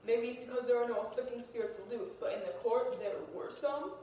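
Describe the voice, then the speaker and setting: A woman's voice: fairly high pitch, a little fast, mellow, highs cut off, lightly acted. A woman playing a stern male judge who questions witnesses formally in an amateur puppet-show drama. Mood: grave. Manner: formal, interrogating. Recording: roomy echo, distant mic, faint noise.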